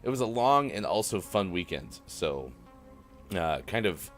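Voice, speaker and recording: A man hosting a live morning show in easygoing, self-mocking banter, his voice a bit rough today. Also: sits low, very animated, unhurried, faint music behind. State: slightly rough, gruff voice. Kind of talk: casual live-stream morning show chat.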